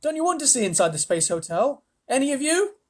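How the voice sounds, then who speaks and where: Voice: high-pitched, very animated, brisk, voice pushed up. A reader voicing an anxious young boy who pleads for help. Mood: pleading.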